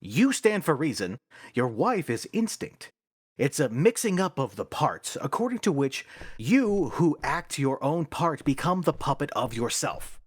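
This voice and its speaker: An actor playing a pompous, bossy and exasperated theater manager in a radio play, declaiming theatrically. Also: male voice, on the high side, very animated, unhurried, husky, no room sound, clean studio sound, full character voice. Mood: exasperated, lecturing.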